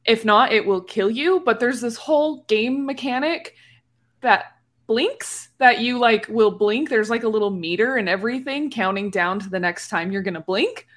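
A woman's voice: on the high side, expressive, normal speed. A woman in a casual podcast conversation, talking very informally with lots of "like.". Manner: informal, full of "like".

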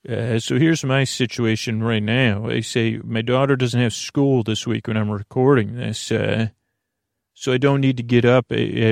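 A middle-aged man with a creaky, gravelly voice hosting a bedtime podcast in a meandering, halting monologue that keeps interrupting itself. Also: mid-range voice, dry recording, studio quality. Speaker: podcast host.

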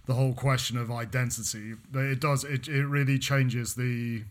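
A man's voice: medium pitch, flat delivery, moderate pace. Rambling young Englishman chatting. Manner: rambling, many restarts and "like" fillers. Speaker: podcast co-host. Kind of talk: casual chat.

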